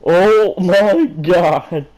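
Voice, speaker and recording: A flustered young woman in a story, acted by a man reading aloud. The voice is pushed up in pitch, exclaiming and blurting questions in shocked disbelief. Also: drawn-out words, a little mellow, low-quality recording. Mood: shocked, frantic.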